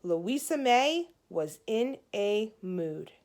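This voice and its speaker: Woman as moody little girl, bossy big sister, emphatic, snappy, dramatic, unhurried, storybook read-aloud. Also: medium pitch, big pitch swings, a little mellow, full character voice. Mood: explaining, emphatic.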